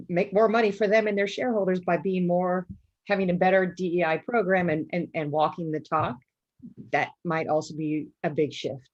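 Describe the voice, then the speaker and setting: A woman panelist speaking over a video call in a measured, pragmatic way. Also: fairly low pitch. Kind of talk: panel discussion. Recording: muffled video call audio.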